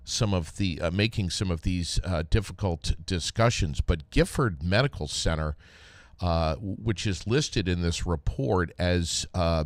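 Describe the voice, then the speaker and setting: A man's voice: low-pitched, no echo. A man co-hosting a news show, giving conversational commentary with hesitant phrasing and repeated words for stress. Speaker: radio news co-host.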